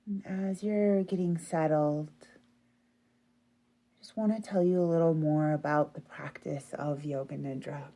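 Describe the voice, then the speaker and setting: A woman leading a guided relaxation meditation in a soothing, gentle, unhurried manner. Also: deep voice, steady tone. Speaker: yoga nidra meditation guide.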